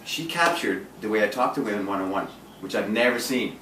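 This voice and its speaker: A man's voice: on the low side, expressive, a little fast, pushed and bright. A man talking in a casual group chat as a dating coach, intense and direct, with lots of restarts. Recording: echoey room from a distance, faint noise.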